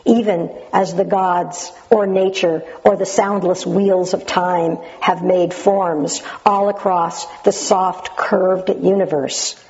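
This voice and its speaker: A woman with a naturally loud voice reading a passage dramatically with up-and-down intonation, then sharing her memories in a reflective way. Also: lowish voice, steady tone, slow pace, few pauses, dull audio. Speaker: Zen practitioner sharing memories of a teacher.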